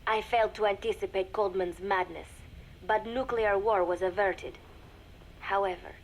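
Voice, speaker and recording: A woman voicing a composed young female spy in a video game audio log, speaking formally with measured, deliberate diction. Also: medium pitch, natural voice. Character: composed young female spy secretly reporting to a shadowy master. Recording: noisy cassette tape recording with ambience.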